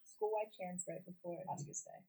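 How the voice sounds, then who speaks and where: A woman's voice: lowish voice, steady tone, normal speed, mellow, poor audio. A teenage student giving an upbeat tour of her school. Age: teens. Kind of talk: scripted tour narration.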